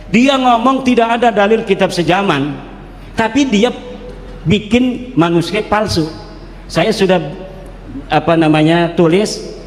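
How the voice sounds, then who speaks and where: A male preacher with a high voice speaks informally in a big reverberant hall. He comes across as boastful, jokey and combative. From Banten, Indonesia. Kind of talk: informal public speech mixing regional languages. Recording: echoey hall with long reverb.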